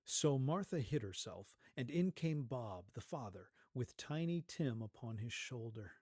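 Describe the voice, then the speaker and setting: A man narrating a scripted story as a theatrical, dramatic and expressive storyteller. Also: mid-range voice, unhurried, breathy edge, no room sound, studio quality.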